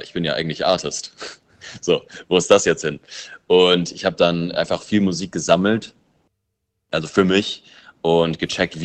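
A very low-pitched man whose first language is English talks about his music in a relaxed interview. He muses and thinks aloud, circling back on his points. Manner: loose, musing, thinks aloud. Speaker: musician and producer. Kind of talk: casual interview answers.

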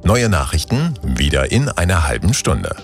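A man reading a radio news bulletin in a calm, measured, formal way. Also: sits low, soft background music. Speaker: newsreader.

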